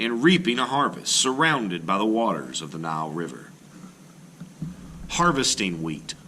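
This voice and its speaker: A man reading an art lesson aloud as a teacher, deliberate and emphatic, pausing between phrases and explaining as he goes. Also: mid-range voice, bright, forceful tone, a little hiss or hum. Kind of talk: read-aloud lesson narration with brief explanatory asides.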